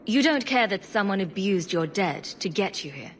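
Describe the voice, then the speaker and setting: A woman's voice: medium pitch, expressive, normal speed, slight background noise, natural voice. A woman playing a level-headed, compassionate young adventurer in a video game, composed and questioning. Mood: diplomatic, questioning.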